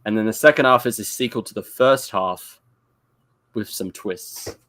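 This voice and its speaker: A man's voice: lowish voice, normal speed, bright, forceful tone, slight background noise. A man giving a casual review as a panelist, hesitant and often repeating his words. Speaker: audio drama review panelist.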